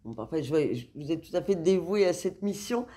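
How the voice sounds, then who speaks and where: A woman's voice: deep voice, expressive, brisk, mellow. A woman interviewer putting questions to her guests and acknowledging their answers.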